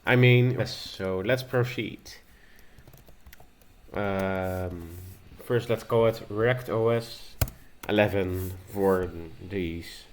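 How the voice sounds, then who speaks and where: Male tutorial host, low and unhurried, halting and a little nervous, faint hiss behind. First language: Dutch. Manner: nervous, hesitant, with many fillers and restarts. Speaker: tech video host. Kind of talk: casual screen-recording tutorial.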